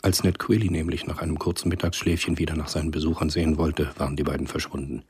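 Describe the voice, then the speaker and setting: A male radio-play narrator with a low, extremely husky voice. He reads a scripted thriller in a measured, matter-of-fact tone, every phrase rough-edged. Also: level tone, darkish tone.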